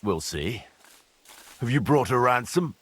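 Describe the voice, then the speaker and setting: A man playing a blunt, mocking, foul-mouthed medieval mercenary commander in a video game, with a husky, forceful voice over music. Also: mid-range voice, expressive, unhurried. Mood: suspicious. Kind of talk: video game cutscene acting.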